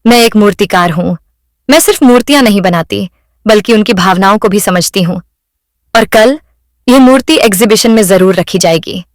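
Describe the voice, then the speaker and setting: A woman voicing a kind, encouraging lady sculptor in a cartoon moral story, speaking in a gentle, earnest way. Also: mid-range voice, normal speed, no room sound, clean studio sound, natural voice.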